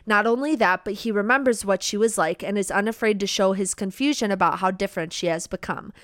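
A woman's voice: mid-range voice, brisk, no echo, clean studio sound. A young woman co-hosting a book podcast, chatting casually in an enthusiastic, emphatic, gushing way.

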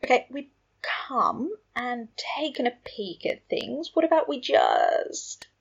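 A woman's voice: sits high, big pitch swings, slow pace, creaky, slightly rough, dull audio, pitched above the performer's own voice, exaggerated voice. A woman voicing a sheepish, polite male wizard in a storybook, hesitant, awkward and placating.

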